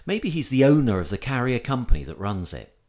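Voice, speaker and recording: A man reading a reflective personal essay aloud in a measured, thoughtful manner as scripted narration. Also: medium pitch, sing-song, darkish tone.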